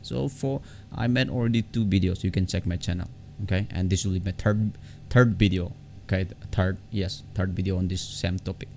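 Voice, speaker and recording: A man with a very deep voice and a lot of fry walks viewers through software step by step. His delivery is informal and halting, often doubling back on words. A faint layer of noise sits behind the close desktop-mic recording. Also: steady tone. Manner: halting, repeats words and phrases. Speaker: tech tutorial vlogger. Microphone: separate desktop condenser microphone. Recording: low background noise on home recording.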